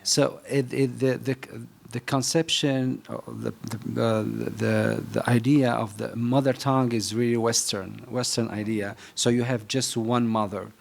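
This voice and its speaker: A man from Algeria, speaking haltingly as a festival panelist. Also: medium pitch, steady tone, gravelly. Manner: halting, many restarts and fillers. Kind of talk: panel discussion.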